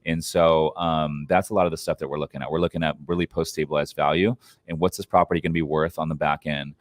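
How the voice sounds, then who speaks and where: A man with a very deep voice talks as an interview guest on an investing podcast. He is informal and emphatic, offering advice with some slang, and the sound is dry and close to the room-free. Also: steady tone, fast talker, no echo. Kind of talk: conversational interview answers on investing.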